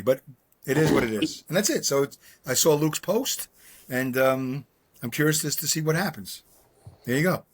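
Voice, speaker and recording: A man, chatting casually on a video call, rambling with lots of fillers and self-deprecating humor. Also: mid-range voice. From Brooklyn, New York. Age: 60s. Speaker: podcast co-host. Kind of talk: casual chat. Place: his home.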